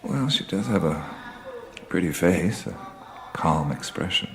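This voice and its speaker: A man's voice: sits low, lively intonation, a little slow. Puzzled, musing male adventurer. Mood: curious, drowsy. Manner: hesitant, musing, questioning. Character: drowsy, puzzled male adventurer, curious and wry. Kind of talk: naturalistic radio drama acting. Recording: loud music under the dialogue.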